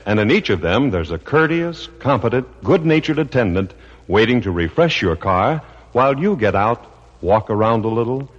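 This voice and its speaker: An echoing, dull old radio recording with faint music. A male 1950s announcer reads formally in a husky voice, his polished phrasing rising and falling with lively range. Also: lowish voice.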